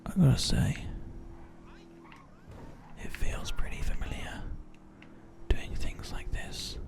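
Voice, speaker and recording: A man whispering slowly into a binaural microphone, musing through an ASMR gameplay monologue. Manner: soft unvoiced whisper, slow musing questions. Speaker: ASMR gaming host.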